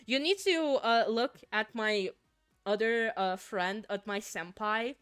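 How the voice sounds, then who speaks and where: A woman's voice: on the high side, slow, a bit bright, clear, resonant tone, dry recording, soft background music. A woman from Finland, a native Finnish speaker, chatting casually with viewers on a game livestream, giggling and repeating words as she goes. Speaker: streamer.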